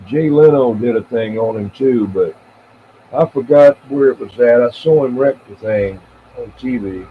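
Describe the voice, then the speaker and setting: Casting: American man in his seventies, average pitch, casual halting CB chatter, thin narrow-band audio with slight noise. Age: 70s. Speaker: CB radio operator and live streamer. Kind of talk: casual rambling radio chat. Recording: tinny band-limited radio audio with faint noise.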